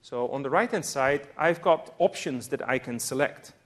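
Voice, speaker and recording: A man's voice: mid-range voice, moderate pace, a bit bright. A man from the Netherlands with a Dutch accent gives a live technical demo, explaining his on-screen actions step by step. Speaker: software presenter.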